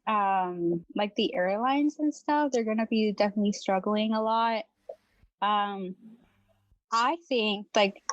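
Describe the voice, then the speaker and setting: A woman's voice: medium pitch, dry recording, highs cut off. Young woman from Venezuela, casual and chatty podcast guest, dry close recording. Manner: chatty, laughs often, many fillers. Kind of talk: casual chat.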